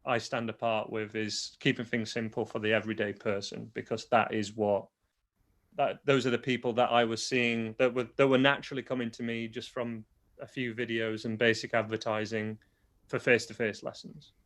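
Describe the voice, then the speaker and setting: A man's voice: mid-range voice, level tone, normal speed, fairly bright tone. A young adult man with a toned-down Yorkshire accent, a guitar teacher talking casually in an interview and explaining things plainly with frequent restarts. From Yorkshire, England. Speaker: guitar teacher and online video creator.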